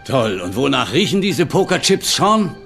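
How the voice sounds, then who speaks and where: Sarcastic, sceptical older dad. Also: male voice, mid-range voice, sing-song, normal speed, creaky, a bit bright, faint music behind. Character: dry, sceptical older father. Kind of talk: dubbed comedy crime series acting.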